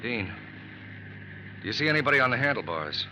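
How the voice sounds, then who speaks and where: An actor playing a brisk, suspicious FBI agent in an old radio crime drama, asking terse questions. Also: male voice, mid-range voice, very animated, sharp tone. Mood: watchful. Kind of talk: 1940s-50s radio crime drama acting. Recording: muffled old radio recording with noise.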